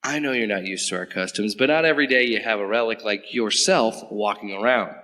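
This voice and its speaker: An actor playing a sarcastic, flustered young government agent in an audio drama. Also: male voice, lowish voice, fairly quick, bright, forceful tone, big-room sound.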